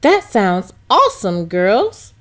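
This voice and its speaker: A woman reads as a gentle mother in a children's storybook. She is affectionate and reassuring, explaining things with patience. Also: mid-range voice, huge pitch swings, takes it slowly. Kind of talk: children's storybook read-aloud.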